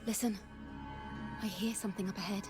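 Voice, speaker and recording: Actress as a soft-spoken young woman musician, poetic, measured phrasing, video game dialogue, clear music behind. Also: medium pitch, fairly even intonation, natural voice. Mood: cautious, hushed.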